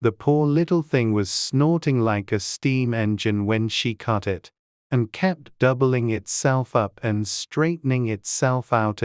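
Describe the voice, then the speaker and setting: A man's voice: mid-range voice, a little mellow, dry recording, studio quality. A man reading scripted storybook narration as an audiobook narrator.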